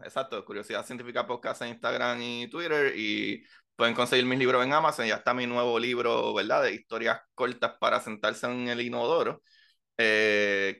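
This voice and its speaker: A man's voice: mid-range voice, gravelly, sharp tone. A young adult man with a Puerto Rican accent, hosting a podcast in laid-back casual chat, laughing often and slipping in English words. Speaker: podcast host.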